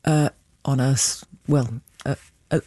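A woman's voice: a bass voice, steady tone, measured pace, warm tone, dry recording. A woman in her sixties whose mother tongue is Greek, a writer and broadcaster talking reflectively as a studio guest, with many fillers. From Greek family. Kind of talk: interview guest. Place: studio in central London.

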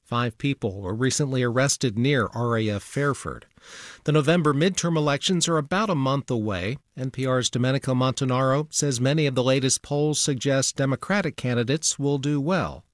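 Measured male newscaster. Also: medium pitch, no echo, studio quality. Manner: steady, measured delivery. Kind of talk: scripted news reading. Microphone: studio microphone.

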